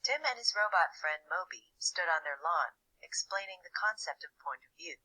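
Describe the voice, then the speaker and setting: A detached, composed storybook narrator reads scripted cartoon narration. The voice sits low and is husky, yet it has a bright, forceful tone. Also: female voice, lively intonation, normal speed, full character voice, low-quality recording.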